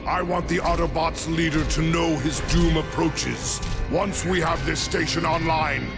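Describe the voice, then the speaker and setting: A man playing a domineering, megalomaniac robot warlord in a video game, with a gravelly voice and an imperious, menacing delivery. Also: fairly high pitch, steady tone, a little slow. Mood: commanding menace. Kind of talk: dramatic video game villain acting. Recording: loud cinematic game music behind.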